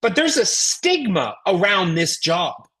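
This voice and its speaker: A woman's voice: medium pitch, big pitch swings, slow pace, a bit bright, slightly rough. A woman with an American accent giving a motivational sales coaching talk as a trainer, emphatic and folksy, with rhetorical questions. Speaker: network marketing trainer.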